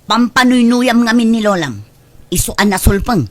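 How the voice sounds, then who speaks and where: A woman's voice: fairly high pitch, normal speed, no room sound, close to an everyday voice. A woman playing a short-tempered middle-aged mother in a radio drama, scolding and exasperated. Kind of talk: Ilocano radio drama acting.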